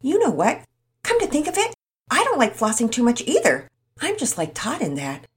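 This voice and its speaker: A woman's voice: fairly high pitch, sing-song, normal speed, pitched above the performer's own voice. A woman voicing an eager, starstruck young boy in a storybook reading.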